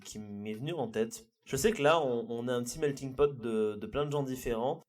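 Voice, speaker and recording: A man hosting a casual chat, energetic and slangy. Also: mid-range voice. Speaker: host. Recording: voice a bit far from the mic.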